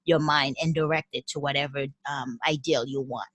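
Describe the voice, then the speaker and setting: A woman from Haiti with a Haitian accent, a podcast guest talking in a lively way and often repeating words as she gathers her thoughts. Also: extremely low pitch, normal speed, no room sound. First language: French and Haitian Creole. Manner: animated, repeats words while thinking. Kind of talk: casual interview conversation.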